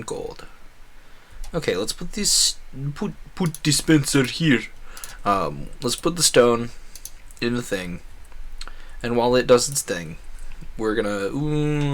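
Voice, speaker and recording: Male game streamer, medium pitch, loose off-the-cuff chatter, thinks out loud as he plays. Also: a little hiss or hum. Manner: rambling, thinking aloud. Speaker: livestreamer. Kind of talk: casual gaming stream chat.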